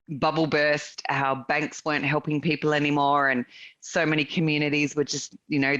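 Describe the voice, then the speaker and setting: Aussie woman guest, bright forceful voice, chatty and laughing a lot, bit dull lo-fi sound. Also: deep voice. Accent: Australian. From Australia. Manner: lively storyteller, laughs often. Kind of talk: casual interview chat.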